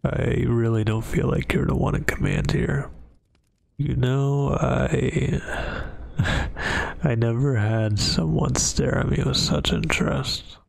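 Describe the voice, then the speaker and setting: A man playing a brooding, remorseful werewolf who is a tender, hesitant lover, speaking softly and haltingly in an intimate roleplay ASMR monologue, husky and often near a whisper. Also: medium pitch, very animated, heavily acted. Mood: wary, bashful. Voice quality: gravelly, husky, breathy.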